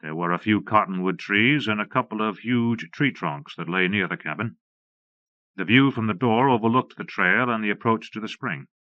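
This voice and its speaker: A man's voice: fairly low pitch, normal speed, no room sound. A man reading scripted western narration for an audiobook, recorded close and dry on a slightly dull cassette.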